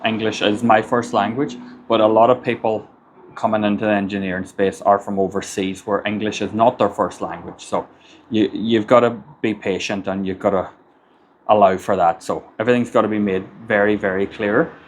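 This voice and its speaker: A man's voice: fairly low pitch, steady tone, moderate pace, a little hiss or hum. An Irish man, a construction business owner, gives plain-spoken advice in a casual monologue and often repeats words as he gathers his thoughts. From Ireland.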